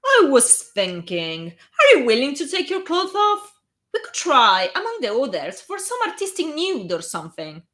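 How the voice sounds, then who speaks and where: A flamboyant young wizard photographer, gushing and stretching his words, as an Italian woman reads him aloud. He sits high and lively, pushed up above the narration. The Italian accent stays plain throughout. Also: moderate pace, exaggerated voice.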